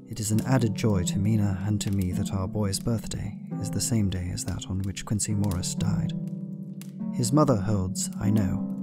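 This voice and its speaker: Man acting reflective Victorian husband, lowish voice, measured radio play narration, music behind. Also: natural voice. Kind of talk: measured audio drama narration.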